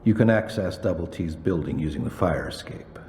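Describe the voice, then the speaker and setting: An actor playing a cold, calculating crooked cop villain in a video game, measured, formal and condescending. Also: male voice, low voice, animated, warm tone. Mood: coolly instructing. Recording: roomy echo, faint background noise.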